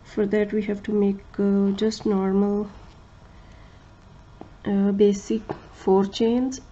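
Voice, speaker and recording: A woman who speaks English as a second language gives a crochet tutorial, talking slowly and carefully, with many fillers and restarts, and counting stitches aloud. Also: mid-range voice, little pitch movement, dark tone, muffled recording. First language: not English. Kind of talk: step-by-step craft tutorial.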